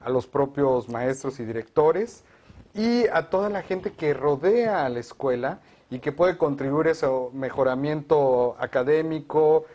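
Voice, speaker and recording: A man, a guest advocate for education, explaining proposals in a measured way on a televised discussion. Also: fairly high pitch, animated, warm tone.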